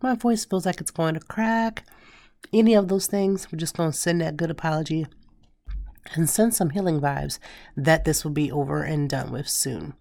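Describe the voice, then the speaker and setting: A woman in her thirties hosting a casual solo podcast, hoarse and raspy from a cold, chatting and telling stories straight to her listeners. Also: deep voice, moderate pace, darkish tone, no room sound. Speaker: podcast host.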